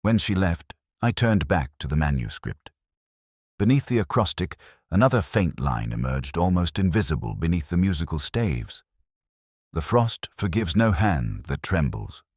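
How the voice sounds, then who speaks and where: An extremely deep male voice in a dry, close recording, a man acting a brooding, philosophical inspector. He narrates his memories in solemn, measured literary phrases for a detective audio drama, with expressive rises and falls. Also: husky, no echo, heavily acted. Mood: somber, reflective.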